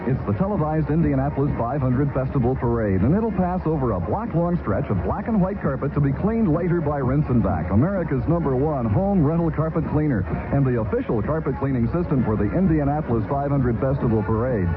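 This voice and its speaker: A man's voice: lively intonation, a little fast. A man reading a radio commercial in an upbeat, promotional way. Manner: bright, upbeat pitch. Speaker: commercial announcer. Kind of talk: 1970s radio ad read. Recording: tinny old radio ad with music.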